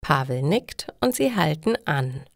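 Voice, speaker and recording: A woman narrates simple stories for learners. Her voice sits slightly low and moves with lively intonation. She takes her time over each careful phrase, in a very dry recording. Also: measured pace, creaky, no echo. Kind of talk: scripted storytelling narration for learners.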